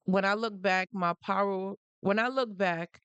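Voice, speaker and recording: A woman with a Jamaican accent talks casually to her livestream audience and drives her points home by repeating them. Her voice sits at a middle pitch. The sound is dry and close, with no room echo. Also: fairly even intonation, unhurried, a bit bright, clean tone, highs cut off. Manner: emphatic, repeats phrases, greets viewers mid-sentence. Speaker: livestream host. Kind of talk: casual livestream talk to viewers.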